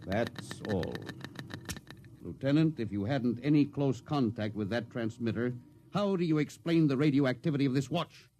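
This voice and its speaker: An actor playing a stern, authoritative spaceship captain who barks curt orders in 1950s radio drama style. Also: male voice, medium pitch, expressive, moderate pace, mellow, lightly acted. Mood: stern, suspicious. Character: stern, commanding male spaceship captain interrogating his crew. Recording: noisy 1950s radio recording.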